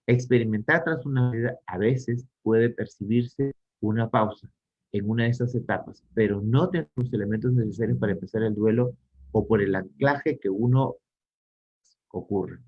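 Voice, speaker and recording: A man's voice: on the low side, moderate pace, breathy edge, lo-fi sound. A man with a Peruvian Spanish accent giving a psychology lecture, posing rhetorical questions to teach. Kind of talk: online conference talk.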